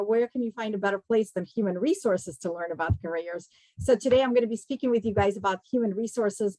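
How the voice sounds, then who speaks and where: A woman's voice: mid-range voice, dry recording, low-quality recording. Woman guest speaker, dry close sound. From Kazakhstan. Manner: conversational, explanatory, direct address to listeners. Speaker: guest speaker, recruiting executive. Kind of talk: career talk to students.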